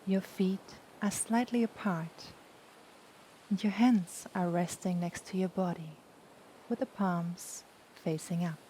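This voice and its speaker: A woman guiding a yoga nidra relaxation in a soft, soothing, unhurried voice with long pauses. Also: lowish voice, low-quality recording. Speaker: yoga nidra meditation guide. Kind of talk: guided relaxation meditation. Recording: steady background noise, ocean waves. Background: sound of the ocean.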